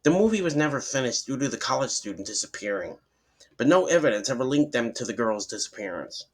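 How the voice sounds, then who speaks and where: A man's voice: medium pitch, very animated, quick pace. A man reading a horror story aloud in dramatic first-person narration, sometimes stumbling over words and restarting.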